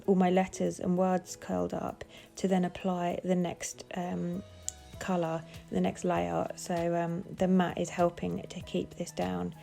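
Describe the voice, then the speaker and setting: A woman with a cold, sounding bunged up, calmly explaining each step of her craft project in a casual tutorial voice-over. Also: on the low side, vocal fry. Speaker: papercraft designer.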